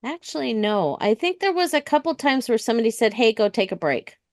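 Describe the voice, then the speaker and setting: American woman in relaxed interview conversation, dry sound. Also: on the high side, a little fast, no echo. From United States. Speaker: interview guest, retired police dispatcher.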